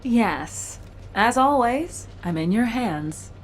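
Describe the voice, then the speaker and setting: Video game role: cyborg woman soldier, guarded, warm underneath, determined; light noise behind. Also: fairly high pitch, animated, slow. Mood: earnest, insistent.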